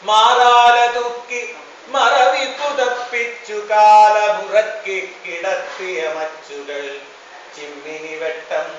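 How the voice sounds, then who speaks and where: A man recites poetry melodically, in an impassioned manner with drawn-out phrasing. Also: sits high, a bit bright. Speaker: poet. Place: small gathering at a function. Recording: echoey, distant, dull, faintly noisy event recording.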